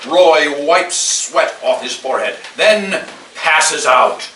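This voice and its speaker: A man's voice: a little high, animated, slow pace, pushed and bright. A man narrating the stage directions of a script at a live table read. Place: live staged event in a room. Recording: echoey room, distant mic, clear noise.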